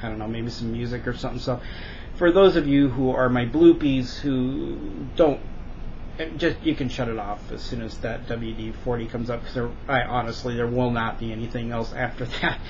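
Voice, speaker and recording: A man's voice: mid-range voice, steady tone, moderate pace. A man telling a story in a casual, mocking and exasperated monologue, as a vlogger would. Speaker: vlogger recounting a prank call. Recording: dull lo-fi audio with noticeable noise.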